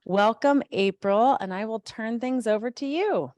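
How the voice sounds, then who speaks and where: A woman's voice: fairly high pitch, a little slow, a little mellow, no room sound. A woman hosting a museum event, introducing a guest in a prepared, formal way.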